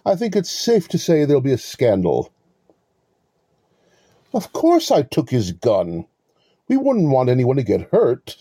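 Smug, pompous male swindler for an audio play, played by a man: very dark, husky tone, swooping intonation, wordy mock courtesy. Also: mid-range voice, huge pitch swings, measured pace, long pauses, no room sound, clean studio sound, exaggerated voice. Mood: pleased, chatty. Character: smug, verbose male con artist posing as police lieutenant. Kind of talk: two-person audio play acting.

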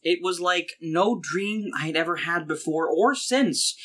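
A man's voice: fairly high pitch, measured pace, fairly bright tone. A man acting an earnest, hesitant, eager romantic everyman in a comic audio play. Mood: dreamy, fervent.

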